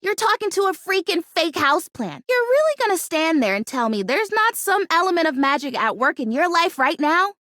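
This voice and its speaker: A woman's voice: very high-pitched, very animated, a little fast, few pauses, fairly bright tone, no echo, clean studio sound, heavily acted. A woman voicing a bubbly, naive, stubbornly hopeful fake houseplant in a video game, chatty and dramatic in her delivery. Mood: defiant, pleading. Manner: perky, dramatic, emphatic, chatty. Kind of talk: animated video game character acting.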